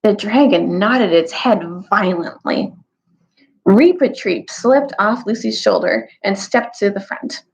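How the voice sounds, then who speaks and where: A woman storyteller giving an expressive read-aloud of a children's fantasy novel. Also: mid-range voice, slow, gravelly. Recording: dull, lo-fi audio.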